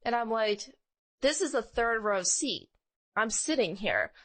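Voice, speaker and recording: A woman co-hosting a podcast in casual chat, telling personal stories in an animated way and acting out what people said. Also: a little high, measured pace. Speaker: podcast co-host.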